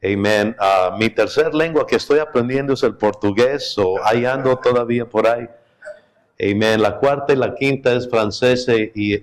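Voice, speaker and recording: A middle-aged man, a guest pastor whose first language is Spanish, speaking in a relaxed, chatty way as he opens a sermon in a church. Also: mid-range voice. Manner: relaxed, chatty and joking with the congregation. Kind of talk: sermon opening. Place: church sanctuary.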